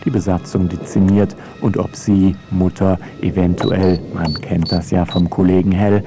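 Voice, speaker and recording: A man's voice: low voice, flat delivery, moderate pace, music in the background. A man reading a scripted radio feature in a wry, pointed, mocking way. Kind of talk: scripted narration, ironic essay.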